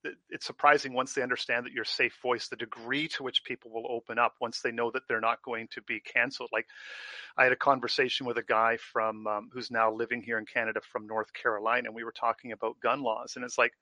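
A man's voice: medium pitch, level tone, quick pace, pushed and bright, no room sound. A man with a big, carrying voice, a former pastor chatting casually from his home office and rambling with lots of fillers. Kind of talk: casual conversation.

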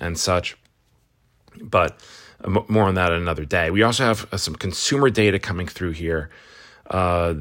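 Man, deep low voice, slightly hoarse, casual market update, conversational, halting restarts. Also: fairly bright tone. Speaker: market news host. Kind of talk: casual morning market rundown.

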